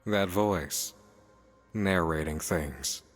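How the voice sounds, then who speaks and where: An actor playing a sly, playful demon in a visual novel, teasing, cryptic and theatrical. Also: male voice, deep voice, extremely slow. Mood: cryptic, calm. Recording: long reverb, music behind.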